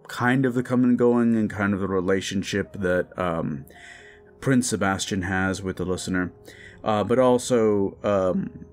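A man with a fairly low voice talks informally to his listeners as an audio drama creator. He is appreciative and a little hesitant, with music behind him. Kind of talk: casual thank-you outro to listeners.

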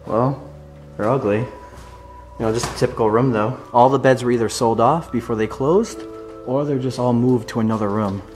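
A man's voice: mid-range voice, moderate pace, darkish tone, faint music behind. A young man casually narrating as he explores an abandoned resort, speculating about what each room used to be. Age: young adult. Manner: chatty, speculative, easily impressed. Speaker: urban explorer. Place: large abandoned resort building, indoors. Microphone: handheld camera.

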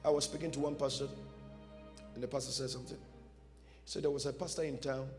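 A man's voice: medium pitch, steady tone, fairly quick, darkish tone. A man, preaching a dramatic storytelling sermon emphatically, repeating short phrases. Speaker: pastor preaching. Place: large church stage before a seated audience. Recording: music behind, long hall reverb.

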